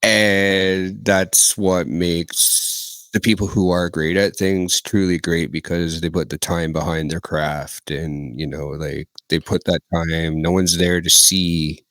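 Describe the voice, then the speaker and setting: A man hosting a podcast, asking questions casually and often backing up his guest with quick agreement. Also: sits low, fairly even intonation, a bit bright, no echo. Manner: affirming, short agreeing replies. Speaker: podcast host. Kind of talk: casual interview chat.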